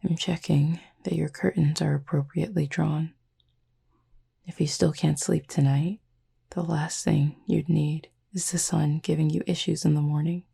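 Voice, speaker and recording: A woman voicing a calm, formal, gently teasing cupid demon roommate in a soft-spoken sleep-aid roleplay, with a husky, breathy voice. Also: heavily acted. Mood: amused, caring. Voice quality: husky, breathy, gravelly low voice. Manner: formal, measured, softly teasing speech.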